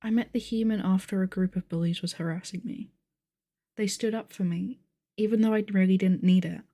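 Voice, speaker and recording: A woman playing a calm, bookish young monster game master in an audio roleplay, measured, articulate and formal. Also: medium pitch, no room sound, studio quality, close to an everyday voice. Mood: composed, wry. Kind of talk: acted audio roleplay, narrating a tabletop game.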